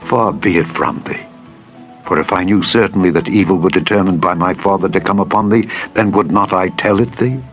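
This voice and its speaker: Male reader as loyal biblical prince, solemn, measured, very low voice, scripture drama, soft music underneath. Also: natural voice. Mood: loyal, firm. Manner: measured, solemn archaic oath-making. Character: Jonathan, loyal, solemn prince and devoted friend. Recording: dull lo-fi audio with music. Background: background music.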